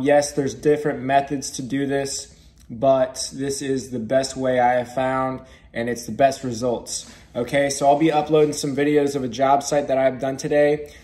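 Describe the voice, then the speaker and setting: A young man in his twenties, a pressure washing business owner, giving a relaxed how-to vlog, talking plainly with frequent ums and uhs, filmed on a phone held at arm's length indoors. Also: mid-range voice, normal speed. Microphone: phone camera at arm's length.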